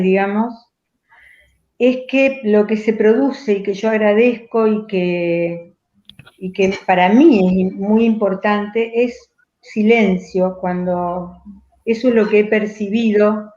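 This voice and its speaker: A woman from Argentina whose was an Italian dialect, speaking thoughtfully as a writer being interviewed, with frequent pauses. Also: mid-range voice, a little mellow. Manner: thoughtful, pausing, restarts phrases. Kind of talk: reflective conversation. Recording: roomy, dull lo-fi video call audio.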